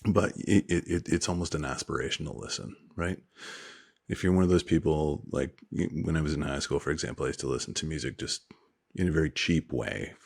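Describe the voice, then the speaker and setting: A middle-aged man with a deep voice that is gravelly and a bit husky. He takes his time and explains things thoughtfully to his co-host. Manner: measured, explanatory, reflective. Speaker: podcast co-host. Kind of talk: casual chat.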